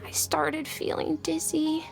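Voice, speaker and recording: A sick, dizzy little boy played by a woman speaks in a very high, gravelly voice that drags along slowly. He sounds weak and halting, like a frightened child, with soft game music underneath. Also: completely flat delivery, heavily acted. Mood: timid. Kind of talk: video game voice acting.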